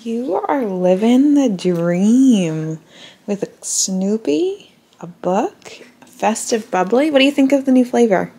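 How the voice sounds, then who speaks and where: A woman's voice: fairly high pitch, lively intonation, slow, breathy edge. A young adult woman vlogging in casual, chatty talk into a handheld camera at arm's length. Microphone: handheld camera or phone at arm's length. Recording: loud background noise on handheld camera.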